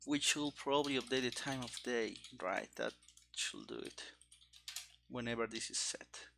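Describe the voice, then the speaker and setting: A man thinking aloud in short, halting phrases during a casual live coding session, as a programmer streaming game development. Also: medium pitch, gravelly, bright, forceful tone, a little hiss or hum.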